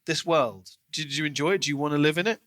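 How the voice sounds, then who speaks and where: A man's voice: a little high, fast talker, fairly bright tone, no echo. A man co-hosting a podcast, talking casually and analytically through his thoughts on a book.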